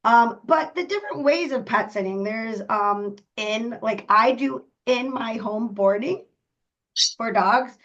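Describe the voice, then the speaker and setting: A woman co-hosting a pet podcast, hoarse from a cold, talking casually with lots of ums and throat clearing. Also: on the high side, slow pace, a bit bright. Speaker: podcast co-host, dog boarder. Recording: dull lo-fi audio.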